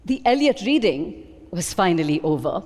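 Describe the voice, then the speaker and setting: A woman with an Indian accent giving an expressive, deliberate dramatic reading of literary prose. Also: fairly low pitch. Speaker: reader at a book event. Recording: long hall reverb.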